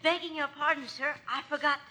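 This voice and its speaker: A man's voice: extremely high pitch, fairly even intonation, fairly quick. A male actor playing a polite, timid young cabin boy in a storybook record, earnest and hesitant. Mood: nervous. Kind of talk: dramatised storybook record acting. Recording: noisy vintage record with clicks.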